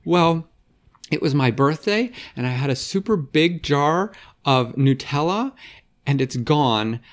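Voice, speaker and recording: A worried young girl pleads for help in a storybook, as a man puts her on. Her voice sits well above his own and lifts higher when she is upset. She speaks slowly and with feeling. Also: expressive. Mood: pleading.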